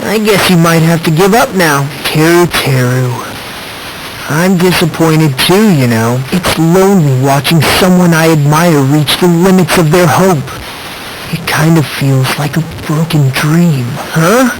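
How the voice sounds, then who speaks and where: A man's voice: fairly high pitch, unhurried, noisy background, natural voice. A man voicing a polite, unsettling teenage boy in a video game, with theatrical, needling questions. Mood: wistful, disappointed. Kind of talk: English video game voice acting.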